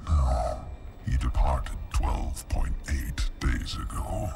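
A broken, malevolent machine mind from a video game. The voice is extremely low and crawls along, full of gravelly crackle and synthetic processing. Each clipped pronouncement lands cold and menacing, with music behind it. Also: male voice, expressive, takes it slowly, dark tone, full character voice. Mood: halting, broken. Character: menacing, broken, malevolent rogue artificial intelligence. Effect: deep machine voice processing. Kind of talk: video game dramatic acting.

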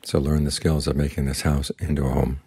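An older man, a Buddhist monk, giving a slow, calm, plainspoken guided meditation talk. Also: rumbling low, slightly rough. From United States.

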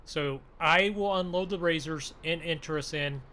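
A man bantering in an improvised gaming roleplay, excitable and full of exclamations, often urging others on. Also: fairly high pitch, normal speed. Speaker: gaming video creator playing a truck roleplay. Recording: faint background noise.

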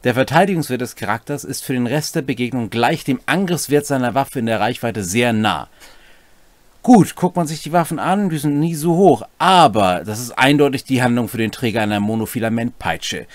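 A middle-aged man talks through game rules with a lively, swinging pitch. He mixes reading with chatty, casual asides, and the sound is close and dry. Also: a little fast, no echo. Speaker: co-host explaining tabletop game rules. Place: small home room, indoors.

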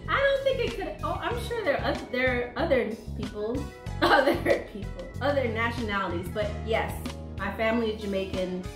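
A woman's voice: a little high, moderate pace, over music. A woman in her thirties who was raised in the United States, co-hosting a couple's vlog and telling personal stories with frequent laughter, recorded at a desk microphone in a small room at home. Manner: laughs often, lively storyteller. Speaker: co-host of a married couple's vlog. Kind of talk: casual chat and personal storytelling. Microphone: desk condenser mic, wearing headphones. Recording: echoey room.

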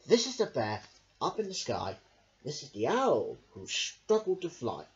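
A young adult reading children's picture books aloud in an emphatic, deliberate way. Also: medium pitch, wildly swooping pitch. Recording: dull lo-fi camera sound.

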